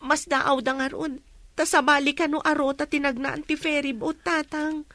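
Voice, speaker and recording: A woman's voice: sits high, level tone, normal speed, fairly bright tone, dry recording. A woman with an Ilocano accent playing an earnest, worried young woman explaining grave matters to her elders in a radio drama. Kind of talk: Filipino radio drama acting.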